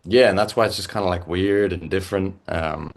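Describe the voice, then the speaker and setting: Low-voiced irish guy, young, um-ing and restarting a lot as he chats. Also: gravelly. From Ireland. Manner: halting, many fillers and restarts. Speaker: musician guest. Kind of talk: casual interview chat.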